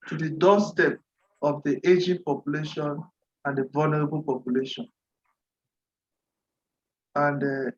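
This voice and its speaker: Male nonprofit rep from Cameroon, voice sits a bit high, methodical and repetitive delivery. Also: lo-fi sound. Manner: deliberate, repeats phrases, occasional throat clearing. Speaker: nonprofit representative at a virtual dialogue. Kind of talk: formal presentation. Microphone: video call.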